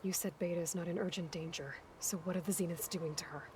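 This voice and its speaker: A woman's voice: on the low side, steady tone, fast, noisy background, lightly acted. An actress voicing a blunt, questioning young woman hunter in a video game cutscene, asking direct, probing questions. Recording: game cutscene with music underneath.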